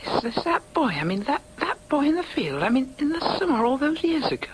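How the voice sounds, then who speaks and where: A woman's voice: sits high, big pitch swings, a little hiss or hum, low-quality recording. An actress playing an apologetic, tentative middle-aged mother in a radio drama. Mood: hesitant, regretful. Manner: tentative, halting, polite.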